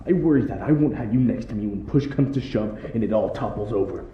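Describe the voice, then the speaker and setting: A man's voice: lowish voice, fast talker, natural voice. A man playing a cocky but loyal young soldier in an anime fan audio drama. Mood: worried, frustrated. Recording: echoey, dull, distant, faint noise.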